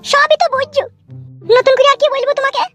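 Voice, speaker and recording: A performer voicing a scolding, exasperated village mother in a Bengali comedy cartoon, with a rural West Bengal accent and a pitch-shifted voice. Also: flat delivery, fast. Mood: pleading. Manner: nagging, shrill scolding in village dialect. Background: loud music.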